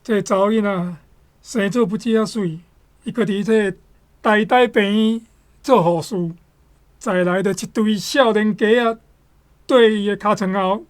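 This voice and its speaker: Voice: medium pitch, measured pace. A speaker with a Taiwanese accent retelling a story in a conversational style.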